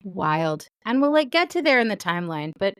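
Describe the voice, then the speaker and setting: A woman's voice: mid-range voice, very animated, normal speed, a bit bright, smooth, dry recording, clean studio sound. A woman hosting a podcast, introducing her guest and chatting enthusiastically, with frequent "um" fillers. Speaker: podcast host. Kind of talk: podcast intro and interview chat.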